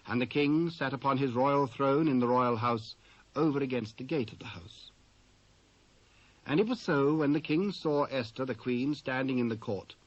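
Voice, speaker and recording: A British man narrates scripture in a formal, measured way. His medium-pitched voice is very dry, on a slightly dull old cassette. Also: no echo.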